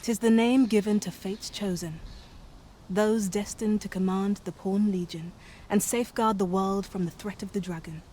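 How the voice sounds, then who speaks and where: A woman playing a solemn otherworldly guide in a fantasy game, speaking archaic English in a formal, measured, deferential way. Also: medium pitch, fairly even intonation, dark tone, a little hiss or hum, lightly acted. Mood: solemn, courteous. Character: otherworldly female Pawn, solemn, dutiful servant guide.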